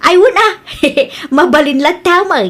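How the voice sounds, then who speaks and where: Woman acting gossipy ilocano sister, high voice, suspicious and meddling, comedy drama. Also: lively intonation, a little slow, a bit bright, full character voice. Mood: playful. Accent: Filipino, Ilocano.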